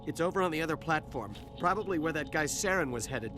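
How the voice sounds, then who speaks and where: A man's voice: fairly high pitch, lively intonation, fast, close to an everyday voice. A man playing a cowardly, frightened dockworker who has survived an attack in a video game, stammering, nervous and pleading. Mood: shaken. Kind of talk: cinematic sci-fi game dialogue. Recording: music under the voice.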